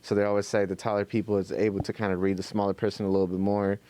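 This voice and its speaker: A man's voice: fairly low pitch, flat delivery, racing, dark tone, no room sound. A young man co-hosting a podcast, talking in a laid-back, casual way, swearing and trailing off into "you know what I'm saying.". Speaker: podcast co-host. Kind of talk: casual chat.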